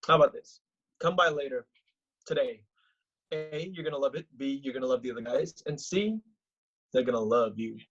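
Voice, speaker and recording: A husky-voiced man an actor playing a determined, bossy young housemate in a comedy read. The sound is muffled and lo-fi, as on a video call. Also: medium pitch, normal speed, pauses often, fairly bright tone, natural voice. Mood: eager, confident. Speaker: actor in a scripted table read. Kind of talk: comedy script read aloud.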